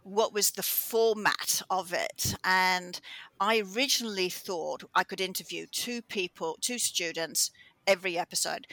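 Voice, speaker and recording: Bright-voiced woman, English-Australian accent. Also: fairly low pitch, no room sound. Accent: mix of English and Australian. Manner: chatty, animated storytelling with quick restarts. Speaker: campus radio show host, interviewed as guest. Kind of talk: conversational interview answer.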